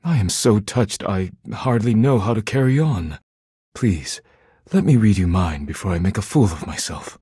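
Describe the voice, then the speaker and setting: A man playing a proud, thin-skinned poetic living dish in a video game, speaking in a formal, deliberate, grave manner. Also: on the low side, lively intonation, dark tone, breathy edge, dry recording, clean studio sound. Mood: earnest, touched. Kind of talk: video game character acting.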